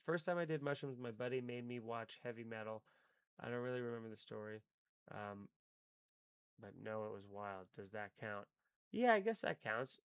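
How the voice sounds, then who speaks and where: A man's voice: mid-range voice, lively intonation, normal speed, clear, resonant tone, no room sound. A young man hosting a live stream, chatting casually, rambling and swearing with wry asides. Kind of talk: casual live-stream chat reading chat comments.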